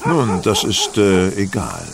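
Confident detective, precise, condescending, lively swooping intonation, audio drama, clear outdoor sounds behind. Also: male voice, deep voice, takes it slowly, noisy background, exaggerated voice. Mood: firm, accusing. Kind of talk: German audio drama acting.

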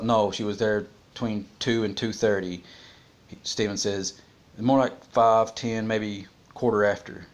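A man in his forties talking casually and unscripted, haltingly thinking aloud, on a home vlog. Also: on the low side. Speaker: vlogger discussing a criminal case. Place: small room at home, indoors.

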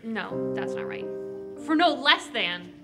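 A woman's voice: a little high, sing-song, slow, over loud music, full character voice. A woman playing a meek, dutiful farm boy who talks hesitantly in a live children's radio play. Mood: unsure. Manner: hesitant, obedient. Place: live performance in a library room.

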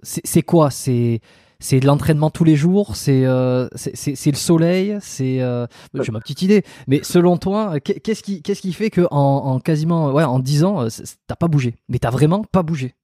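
A man hosts a relaxed interview chat, full of fillers and restarts. His voice is mellow and dark, close on a boom mic in a dry home room. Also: moderate pace, dry recording. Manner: hesitant, many fillers and restarts. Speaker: podcast host, osteopath. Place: home room with lamp, video call. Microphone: studio mic on boom arm, close.

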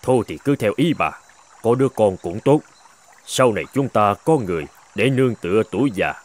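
A man voicing a grumbling but soft-hearted old farmer in an animated folk tale. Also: fairly low pitch, brisk. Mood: hesitant.

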